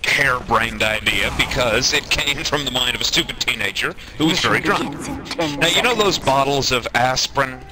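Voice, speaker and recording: A man telling a comedic anecdote in an animated way, acting out the quotes as he goes. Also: mid-range voice, normal speed. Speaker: storyteller, online gamer. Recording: noisy amateur game-session recording.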